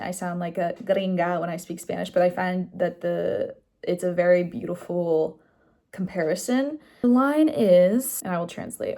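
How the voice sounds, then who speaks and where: A woman's voice: on the low side, mellow. A young woman chatting casually in a vlog about her day and a book she is reading. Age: young adult. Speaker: vlogger.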